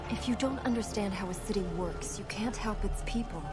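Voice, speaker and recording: An earnest young princess voiced by a woman in a video game, practical and patient. She speaks at a middling pitch, measured and gently firm. Music is clearly audible behind her. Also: natural voice. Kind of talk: video game character dialogue.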